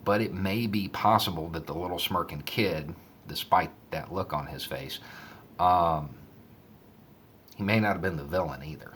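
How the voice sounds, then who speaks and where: A man giving casual, conversational commentary and storytelling in a measured way. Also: low-pitched, a bit bright, slight background noise. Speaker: online commentator.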